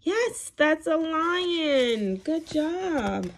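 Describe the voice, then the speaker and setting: A woman reading a picture book aloud to young children in an animated, expressive way, with gasps, questions and encouraging praise. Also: high voice, drawn-out words. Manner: animated, expressive, sing-song. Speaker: children's read-aloud storyteller. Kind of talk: interactive picture-book read-aloud for toddlers.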